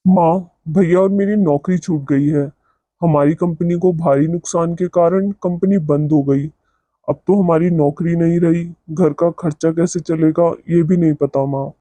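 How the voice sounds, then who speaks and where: A man's voice: a little high, steady tone, measured pace, dark tone, no echo. A man voicing a grown son of a household in a cartoon moral story. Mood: worried.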